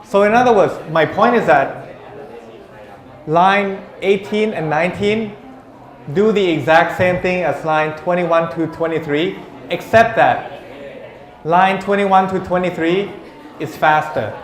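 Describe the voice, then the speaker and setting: A man's voice: sits high, takes it slowly. A male university lecturer teaching a class, teasing students and calling on them by name. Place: lecture room. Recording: echoey lecture room.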